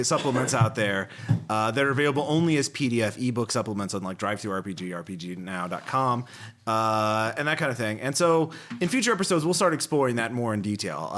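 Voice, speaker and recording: A man hosting a casual gaming podcast, chatting in an animated, exclamatory way. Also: medium pitch. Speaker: podcast host.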